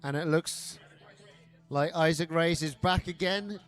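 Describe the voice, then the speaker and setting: A high-voiced man calls a live drift event with bursts of excitement and silly asides. Loud music plays behind him the whole time. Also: measured pace. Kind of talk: live motorsport commentary.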